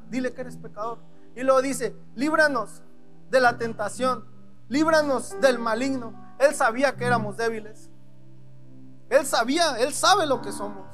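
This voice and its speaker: A man's voice: extremely high pitch, fairly bright tone. A man with a Mexican Spanish accent preaching a sermon, talking in a casual way and acting out prayers and God's replies. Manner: conversational preaching with dramatic quoted prayers. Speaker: pastor.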